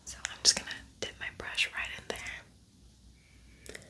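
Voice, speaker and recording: A woman hosting an ASMR makeup tutorial, whispering softly in a casual, chatty, unhurried way.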